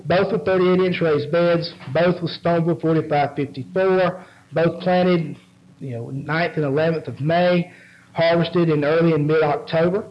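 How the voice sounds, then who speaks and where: A nasal, congested man presents research at a conference, stopping often with ums and repeated words. His voice sits a little high for a man. The recording sounds dull and lo-fi. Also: fairly even intonation. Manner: halting, frequent ums and restarts. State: head cold, nasal. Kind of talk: conference talk with slides. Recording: dull lo-fi audio.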